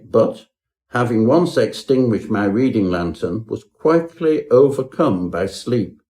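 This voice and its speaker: A man reads a parody Victorian ghost story with theatrical, ornate delivery. His voice is fairly deep, with a very soft, dark warmth to it. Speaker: audiobook narrator.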